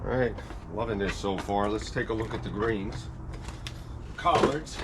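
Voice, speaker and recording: A man's voice: fairly low pitch, unhurried, noisy background. A man chatting in a folksy, casual way to viewers as he demonstrates gardening equipment hands-on. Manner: folksy, chatty, talking while working. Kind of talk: casual hands-on product review talk to viewers.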